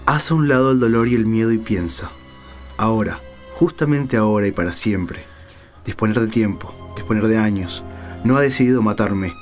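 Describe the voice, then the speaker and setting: Low-voiced male literary narrator. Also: moderate pace, faint music behind.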